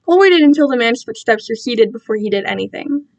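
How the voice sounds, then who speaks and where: A woman giving an expressive, amateur dramatic reading of a story. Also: fairly high pitch, fast talker. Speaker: amateur fan fiction reader.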